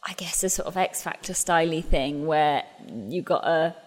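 A woman's voice: low voice, steady tone, moderate pace, in a large hall. A woman in her thirties, an English stage actress, talking chattily about her career in an interview, often restarting and laughing at herself. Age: 30s. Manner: chatty, self-deprecating, frequent restarts and laughs. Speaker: musical theatre actress. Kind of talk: interview conversation.

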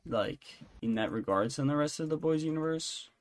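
A man talking casually as a podcast co-host, in a halting, rambling way. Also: a little high, lively intonation. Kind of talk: casual chat.

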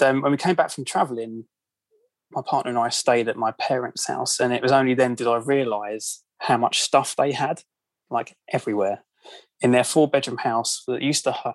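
A man's voice: medium pitch, dry recording, poor audio. A man with a London accent, a podcast guest chatting casually with many ums and self-deprecating asides. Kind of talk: casual interview chat.

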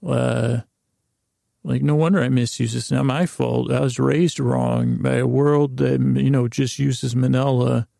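A man hosting a bedtime podcast with a gravelly voice, rambling through a whimsical, meandering, improvised monologue to help listeners sleep. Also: mid-range voice, fairly even intonation, measured pace, warm tone, dry recording, studio quality. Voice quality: very creaky, gravelly voice. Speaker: host of a bedtime story podcast.

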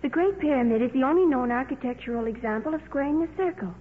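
A woman's voice: high-pitched, expressive, fast talker. A female performer playing a solemn, precocious little girl in a 1950s radio drama, earnest, precise and bookish. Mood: proudly precise. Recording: narrow, noisy 1950s radio recording.

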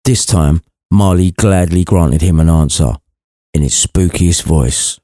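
Deep, dark-voiced male storyteller. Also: measured pace, clean studio sound. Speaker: storyteller, podcast reader. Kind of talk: scripted comic story narration. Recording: long reverberant hall echo.

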